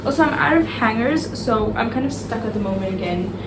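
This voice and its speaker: A woman's voice: fairly high pitch, lively intonation, brisk, very noisy. Tired Argentine woman vlogging casually. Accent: Argentine Spanish. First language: Spanish. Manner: tired, rambling, chatty. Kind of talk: casual chat. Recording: music playing in the background.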